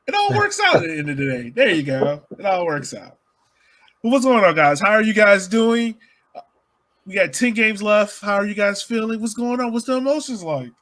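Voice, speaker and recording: Bright, higher-pitched man hosting sports chat. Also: sing-song, normal speed. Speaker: sports podcast host.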